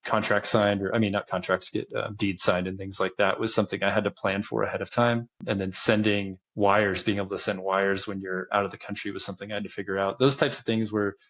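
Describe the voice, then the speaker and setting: Man, thirties, deep voice, relaxed chat, chuckles, dull lo-fi sound. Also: fast, few pauses. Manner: relaxed, chuckles often, restarts phrases. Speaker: podcast guest, land investor. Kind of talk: casual interview talk.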